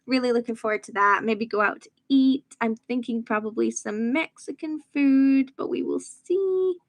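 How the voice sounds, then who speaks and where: A young Scottish woman with a soft Edinburgh accent that comes across a little posh. She talks in a loose, rambling way, full of "um"s and "like"s, as she chats through a livestream. Her voice sits a bit high. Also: normal speed. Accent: soft Edinburgh Scottish, somewhat posh-sounding. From Edinburgh, Scotland. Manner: chatty, rambling, laughs easily, many "um"s and "like"s. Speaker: YouTube livestream host. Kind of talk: casual chat while crafting.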